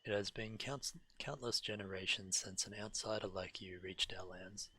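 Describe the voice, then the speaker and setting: Deep, bright-voiced man reading aloud. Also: fairly even intonation, moderate pace, breathy edge. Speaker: game streamer reading quest text aloud. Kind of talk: reading game dialogue aloud on stream.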